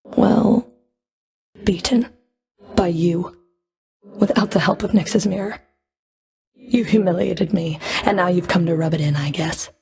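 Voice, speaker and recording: A woman playing a cold, proud Fury of the underworld in a video game, dry and cutting, with a creaky edge to her voice. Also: lowish voice, unhurried, pauses often, darkish tone, soft background music. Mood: bitter, defensive. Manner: dry, cutting, haughty, controlled. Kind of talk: video game character voice lines.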